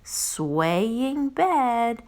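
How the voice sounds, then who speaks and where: A woman storyteller reading a storybook aloud to young children, expressive and playful. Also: on the high side, extremely slow. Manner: expressive, addresses listeners playfully.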